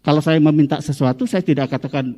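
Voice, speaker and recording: A Medan-accented man in his fifties preaches to his congregation, slow and deliberate, then swelling loud and high at the key lines. His tone is soft and mellow. The church hall gives his voice a long, lingering reverb. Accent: Medan Indonesian. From Medan, North Sumatra, Indonesia. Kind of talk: sermon to a congregation.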